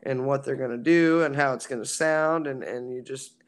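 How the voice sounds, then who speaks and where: A raspy-voiced man from a band talks loosely about his music. He rambles, circling back with fillers, in an easygoing interview tone. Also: mid-range voice, moderate pace. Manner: relaxed, rambling with many fillers. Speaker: band singer. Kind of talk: casual interview chat.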